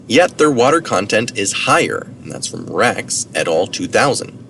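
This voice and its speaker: A man's voice: fairly low pitch, sing-song, moderate pace, vocal fry, bright, forceful tone. A man reading a science article aloud as narration, with informal asides for citations. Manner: informal asides for citations, occasional self-correction. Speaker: reader of articles. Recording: distant mic, noticeable background noise.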